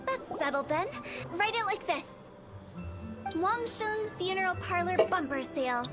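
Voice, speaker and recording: A woman's voice: extremely high pitch, lively intonation, measured pace, loud music behind the voice. A woman voicing a playful young female funeral parlor director in a game, teasing and fond of morbid jokes.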